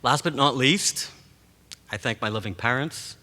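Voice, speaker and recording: A man from Montreal whose first language is Greek, giving a formal graduation address as a professor in a measured, rhetorical way. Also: mid-range voice, pushed and bright. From Montreal, Canada. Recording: long reverb of a large hall.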